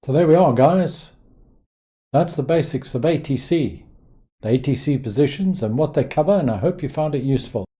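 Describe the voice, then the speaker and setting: A man's voice: a little high, animated, moderate pace, mellow, breathy edge. A man narrating an instructional tutorial as the host of a flight simulation channel.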